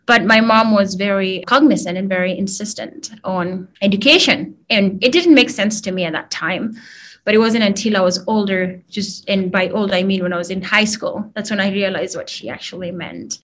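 A woman in her thirties with a Kenyan accent, speaking as a guest in a video call interview, soft and reflective. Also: medium pitch, moderate pace.

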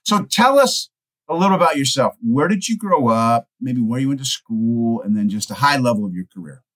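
A man's voice: medium pitch, expressive, fairly quick, a bit bright, rough recording. American male host on a call, friendly, jokey, rambling questions. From United States. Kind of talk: video call interview. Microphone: video call.

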